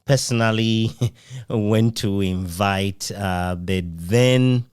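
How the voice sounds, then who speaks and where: A man's voice: fairly low pitch, very slow, a little mellow, clean tone, dry recording, clean studio sound. A man speaking as an interviewer in a casual conversation.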